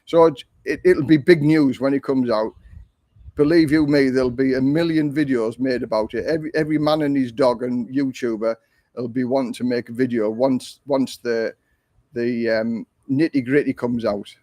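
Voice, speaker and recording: An older man, hosting a casual live stream and answering viewer questions in a rambling, chatty way, with a voice he calls monotone. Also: mid-range voice, moderate pace, dry recording. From England. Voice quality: self-described monotone voice. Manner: rambling, chatty, with frequent ums and restarts. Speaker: livestream host.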